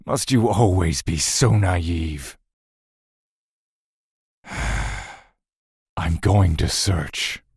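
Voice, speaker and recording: Grave, deep-voiced stern god of death. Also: male voice, drawn-out words, long pauses, a little mellow, breathy edge, no echo, clean studio sound, full character voice. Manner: stiff, curt, commanding. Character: stern, dutiful god of death, elder-seeming brother. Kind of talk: dramatic fantasy audio drama acting.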